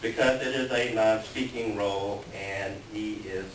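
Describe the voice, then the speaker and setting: A man introducing a staged play reading as announcer, reading aloud and speaking in a halting way. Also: fairly low pitch, steady tone. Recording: echoey room, distant mic, clear noise.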